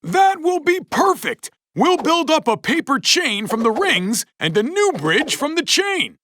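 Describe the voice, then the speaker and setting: A man voicing a friendly, cautious, thoughtful moose with deliberate, theatrical delivery in a children's cartoon. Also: very high voice, sing-song, pushed and bright, no room sound, exaggerated voice. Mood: resolved. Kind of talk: animated children's cartoon voice acting.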